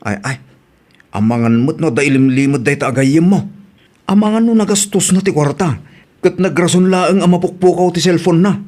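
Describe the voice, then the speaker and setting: A man's voice: fairly high pitch, normal speed, a little mellow, lightly acted. A man playing an older, suspicious family man who scolds and exclaims with an Ilocano accent in a radio comedy drama.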